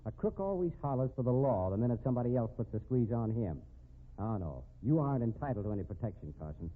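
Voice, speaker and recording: A man's voice: mid-range voice, sing-song, fast, a little hiss or hum, lightly acted. An actor playing a confident, tough man cornering a crook in a 1950s radio drama, sardonic and hard-boiled. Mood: smug, sardonic. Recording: narrow phone-like old radio recording.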